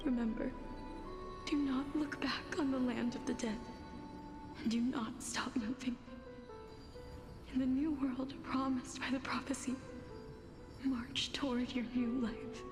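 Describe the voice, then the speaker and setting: In a long echo over music, a sorrowful maiden of death from a fantasy game. Her high voice is gentle and hushed, and she speaks slowly and ceremonially. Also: steady tone. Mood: solemn, tender. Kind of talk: video game voice acting, solemn monologue. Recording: echoing hall reverb, loud music.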